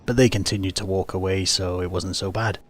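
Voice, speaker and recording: Low-voiced man explaining gameplay. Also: fairly even intonation, fairly quick. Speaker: gaming video host. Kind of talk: casual game commentary, explanatory. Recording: faint background noise.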